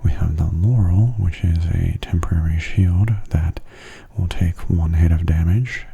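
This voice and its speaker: A man giving soft-spoken ASMR gameplay commentary in a hushed, breathy, unhurried and casual way, close to the microphone. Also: steady tone, dull audio. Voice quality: breathy, husky low voice. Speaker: gaming ASMR video host. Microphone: close mono microphone.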